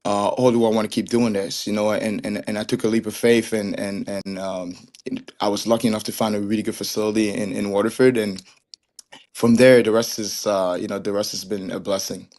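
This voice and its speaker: A young man from Cameroon speaks as a guest in a relaxed interview, his voice sitting a bit low. He talks earnestly and keeps restarting his sentences with repeated little words. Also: a little fast. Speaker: guest, soccer trainer and coach. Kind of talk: casual interview chat.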